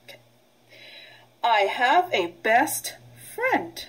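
A middle-aged woman speaks as a preschool teacher to young children. Her voice sits a little high and she goes very slowly. She is instructional, leaving pauses so that listeners can join in or repeat. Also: very animated, husky, poor audio. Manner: instructional, prompting with pauses for repetition. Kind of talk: read-aloud vocabulary lesson for young children.

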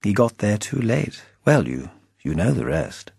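A man's voice: fairly low pitch, very animated, measured pace, gravelly, darkish tone, husky, no room sound, studio quality, voice pushed up, exaggerated voice. A man voicing a chipper, suave English spymaster in a storybook, with jaunty upper-class patter and drawled endearments. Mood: affable, confiding. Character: chipper, suave British spymaster, breezy and affable.

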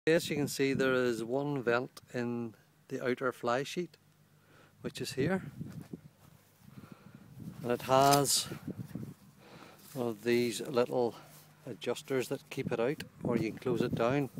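Man, plain mid-pitched voice, matter-of-fact gear reviewer outdoors, handheld camera mic. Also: steady tone, slow, pauses often, background noise. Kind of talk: casual product walkthrough. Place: outdoors on a lawn beside a small tent. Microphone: handheld camera held by the speaker.

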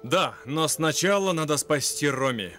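A man voicing a resolute, gentlemanly lion explorer in a dubbed cartoon, courteous and determined. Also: fairly high pitch, lively intonation, moderate pace, faint music behind.

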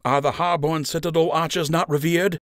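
A man's voice: on the high side, fairly even intonation, moderate pace, a bit bright, no echo, clean studio sound, voice pushed up, natural voice. A man voicing a zealous, frustrated young male rabbit archer in an audiobook, impatient and demanding. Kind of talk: audiobook character acting.

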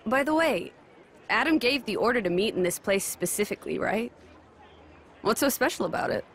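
Sarcastic young warrior woman with animated delivery. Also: fairly high pitch, normal speed. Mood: curious. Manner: sarcastic, snappy, unapologetic. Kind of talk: video game cutscene acting.

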